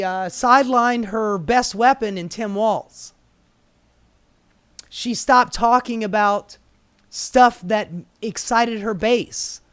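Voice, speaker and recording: A man's voice: high voice, a bit bright, smooth, no room sound. An American man giving casual, opinionated news commentary in a sardonic, exasperated, sweary manner. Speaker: political commentator.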